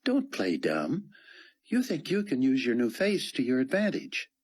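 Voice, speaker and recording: A man voicing a sharp, knowing young wife who talks in a dry, chiding way. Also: medium pitch, wildly swooping pitch, a little slow, husky, voice pushed up, heavily acted.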